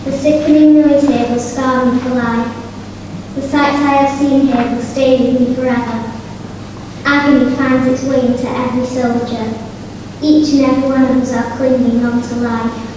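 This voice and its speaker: A woman's voice: sits high, fairly even intonation, moderate pace. A young female speaker reciting a poem. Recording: distant, very echoey hall, clear background noise.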